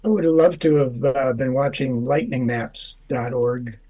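A man giving a casual weather forecast on the radio, relaxed and unhurried, with many filler sounds. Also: mid-range voice. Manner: relaxed, drawn out with lots of uhs. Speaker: weather forecaster and humor guest.

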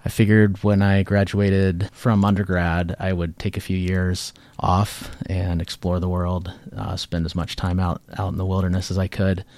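A man from Maine, a judge, answering interview questions in a relaxed, measured way. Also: deep voice, no room sound. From Maine, USA. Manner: relaxed, measured, some fillers. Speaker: guest, judge. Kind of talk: radio interview.

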